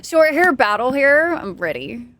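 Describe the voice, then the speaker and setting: A young woman from Texas talks to her stream chat while she plays, her voice sitting a little high. She rambles casually, pokes fun at herself and laughs often. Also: big pitch swings, unhurried, a bit bright, no room sound. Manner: chatty, self-deprecating, laughs often.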